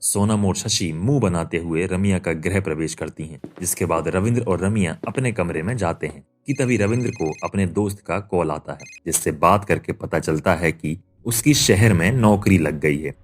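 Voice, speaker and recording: Deep-voiced male story narrator. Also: moderate pace, few pauses. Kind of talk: scripted cartoon story narration.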